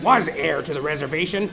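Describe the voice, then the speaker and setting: A man's voice: on the high side, moderate pace, creaky, over music. A man voicing a crazed, greedy business heir, a theatrical gloating villain in a comic audio detective play.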